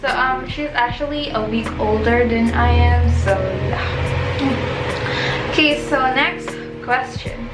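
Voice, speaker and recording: A young woman with a high voice chatting casually as a vlogger, reading out questions with an upbeat manner. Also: steady tone, slow. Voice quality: high-pitched. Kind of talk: casual chat. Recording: echoey room with background music.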